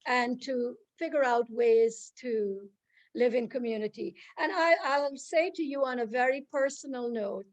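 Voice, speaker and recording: A woman's voice: a little high, measured pace. A woman from Guyana introducing a speaker in an online conversation, earnest and stopping often to search for words.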